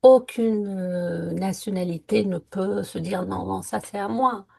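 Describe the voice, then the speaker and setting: Husky-voiced woman co-hosting a chat podcast. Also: mid-range voice, unhurried, darkish tone. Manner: reflective, earnest, many hesitations. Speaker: podcast co-host. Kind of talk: casual conversational podcast. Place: lived in France.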